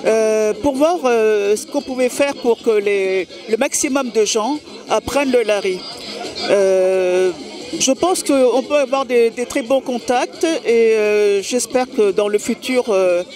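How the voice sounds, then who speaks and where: A woman attending a conference, speaking hesitantly to a camera and filling pauses often. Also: a little high. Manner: hesitant, with many fillers. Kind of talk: spontaneous remarks to a camera.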